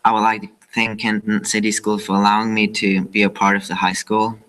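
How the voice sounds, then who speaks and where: A teenage exchange student from Austria, giving a measured, formal prepared thank-you speech. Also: male voice, fairly low pitch, flat delivery, a bit bright. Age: teens.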